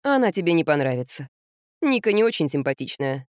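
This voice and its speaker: A woman voicing a stubborn young rocker woman who speaks curtly in a lowered, muffled tone. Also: a little fast, no room sound, studio quality. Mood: cold. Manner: flat, curt, lowered voice.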